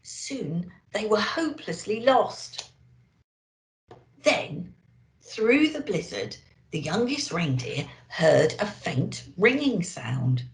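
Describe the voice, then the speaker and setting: Slow, expressive woman storyteller, echoey room. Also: medium pitch, creaky. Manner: expressive, deliberate. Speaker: storyteller in character as a Christmas elf. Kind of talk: children's storybook reading and festive address.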